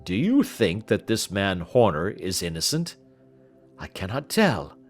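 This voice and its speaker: Man reading as Dr. Watson, animated, curious gentleman. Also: low voice, measured pace, faint music behind, heavily acted. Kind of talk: audiobook character voice.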